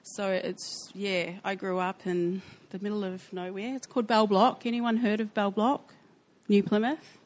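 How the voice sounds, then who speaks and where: A woman with a New Zealand accent sharing her life story in a church interview, speaking softly and hesitantly with frequent pauses. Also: medium pitch, flat delivery. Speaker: church member sharing testimony. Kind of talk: personal testimony interview.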